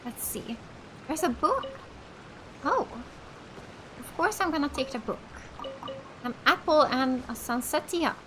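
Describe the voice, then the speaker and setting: High-voiced, unhurried woman gamer. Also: lively intonation, a bit bright, faint music behind, poor audio. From Finland. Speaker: let's play gamer. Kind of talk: casual game playthrough commentary.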